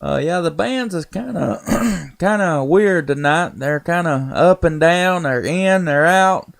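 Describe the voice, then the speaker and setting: Gravelly ham radio man. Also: a little high, unhurried. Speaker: amateur radio operator and live streamer. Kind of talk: casual ham radio chat.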